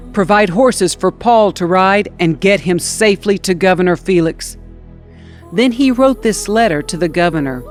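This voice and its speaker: A woman reading scripture aloud as a scripted audiobook narration. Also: mid-range voice. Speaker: scripture reader.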